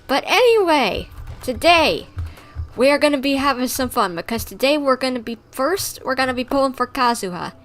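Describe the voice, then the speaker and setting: A woman chatting casually on a gaming livestream, rambling along with animated griping. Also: high voice, normal speed, faint music behind. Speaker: streamer.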